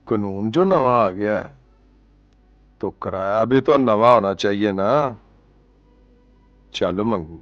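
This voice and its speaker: A working-class cart driver with a Punjabi accent, cocky and full of hope. He muses aloud at a slow, drawn-out pace with sardonic swagger, in television drama style, on slightly dull lo-fi film sound. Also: fairly low pitch, no echo. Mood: taunting, defiant. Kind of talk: colloquial television drama acting, musing aloud.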